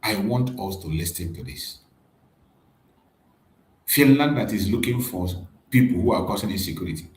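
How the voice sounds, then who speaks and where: Low-voiced man, emphatic commentary. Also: lively intonation, normal speed, long pauses. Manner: emphatic, repeats key points. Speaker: livestream commentator. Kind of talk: commentary on played clips. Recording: echoey room.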